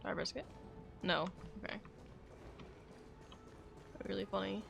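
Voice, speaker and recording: Girl streamer rambling casually over a game, some vocal fry, medium pitch, faint music. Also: steady tone, normal speed, fairly bright tone. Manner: rambling, self-questioning asides. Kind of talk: casual livestream chat over gameplay.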